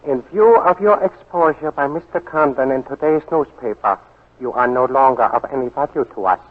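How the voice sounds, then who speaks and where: A man's voice: mid-range voice, moderate pace, close to an everyday voice. A man playing a menacing Japanese military colonel in a 1940s radio drama, precise and stiffly polite. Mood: cold, formal. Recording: muffled, worn 1940s radio recording.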